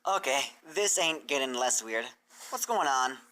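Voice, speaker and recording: Woman doing a wary young country filly, bright-voiced. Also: extremely low pitch, animated, measured pace, heavily acted. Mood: suspicious. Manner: folksy, blunt, questioning. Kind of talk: fan fiction character reading.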